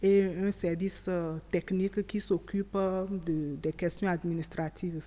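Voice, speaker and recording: A woman's voice: on the low side, fairly even intonation, unhurried, dark tone. Woman explaining in a reverberant hall. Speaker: expert interviewee on local government. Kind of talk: explanatory interview answers.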